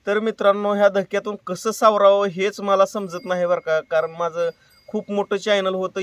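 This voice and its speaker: A man's voice: sits high, clear, resonant tone, no echo, distant mic. A man making an earnest, plain-spoken personal appeal to his viewers, repeating himself for emphasis. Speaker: YouTube creator and prank caller.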